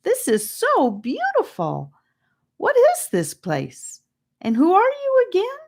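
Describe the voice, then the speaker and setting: An excitable young boy in a storybook, acted by a woman, pitched high and swooping wildly. He is wide-eyed and eager, firing out questions in exclamations. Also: slow, exaggerated voice. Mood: delighted.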